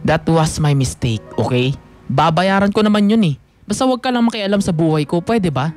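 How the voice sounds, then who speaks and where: A man's voice: on the high side, lively intonation, normal speed, a little mellow, natural voice. A defiant young adult son, played by a man in a radio drama, speaks curtly and slips between Filipino and English. He sounds resentful, with faint music underneath. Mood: defiant, bitter. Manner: curt, mixes English into Filipino. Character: resentful, defiant twenty-one-year-old son.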